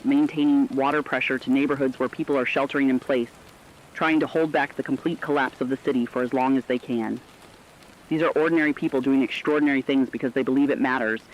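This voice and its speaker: A man's voice: mid-range voice, lightly acted. A man playing a somber, compassionate radio commentator, speaking scripted reflections in an earnest way on a lo-fi broadcast. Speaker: radio co-anchor. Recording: dull lo-fi radio sound.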